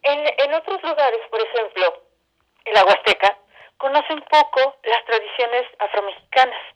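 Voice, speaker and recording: A woman talking as a guest in a conversational interview, explaining things in a halting then flowing way. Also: a little high, animated, lo-fi sound. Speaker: interview guest, theatre director.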